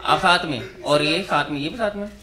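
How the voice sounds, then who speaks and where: A man in his thirties doing stand-up, teasing audience members in quick back-and-forth banter on a club stage with a handheld mic. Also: a little high, pushed and bright. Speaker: stand-up comedian. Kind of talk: crowd-work roast.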